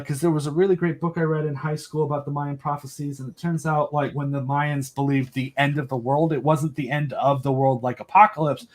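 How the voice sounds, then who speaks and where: A man with a fairly high voice speaks as a guest on a podcast. His delivery is casual and enthusiastic, animated with jokes and dry asides. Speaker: podcast guest, audio drama creator.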